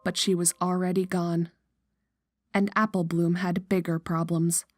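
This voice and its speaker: Low-pitched woman narrating a horror story. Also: flat delivery, measured pace, no room sound.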